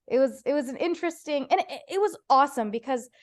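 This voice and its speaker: Chatty woman guest telling animated stories. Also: high-pitched, fast talker. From United States (Ohio). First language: Farsi. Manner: chatty, animated storytelling with playful voiced quotes. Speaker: guest comedian. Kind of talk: casual podcast interview.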